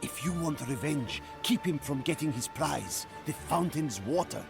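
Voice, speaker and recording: An actor playing a grave Ottoman warrior in a video game cutscene, measured and insistent, over dramatic music. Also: on the high side, expressive, creaky. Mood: grave, persuasive. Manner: measured, solemn, persuasive.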